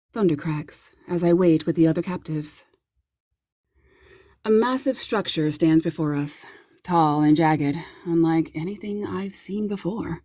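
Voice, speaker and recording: Low, husky woman narrating darkly. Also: dark tone, dry recording, clean studio sound. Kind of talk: dramatic audiobook narration in first person.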